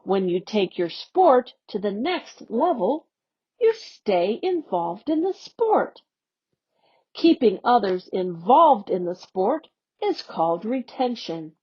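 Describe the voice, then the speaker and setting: A woman on an education staff reading a youth newsletter aloud in a slow, expressive storybook manner. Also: medium pitch, breathy edge. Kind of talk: read-aloud for young learners. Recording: dull lo-fi audio.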